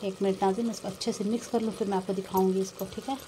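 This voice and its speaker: A woman with a mid-pitched voice walks viewers through a recipe step by step in a casual way. She speaks to them directly and often repeats her instructions. Clear kitchen noise runs behind her, with clanking pots, stirring and sizzling. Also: fairly even intonation, rapid-fire, dark tone. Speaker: home cook and vlogger presenting a recipe. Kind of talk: casual step-by-step cooking narration. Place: home kitchen. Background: pots clanking, frying and stirring.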